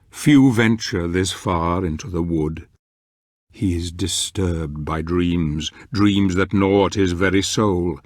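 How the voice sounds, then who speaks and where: An older man acting as a solemn, portentous and brooding narrator of a dark fantasy game, speaking in measured dramatic narration. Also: low-pitched, no echo, clean studio sound. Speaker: story narrator.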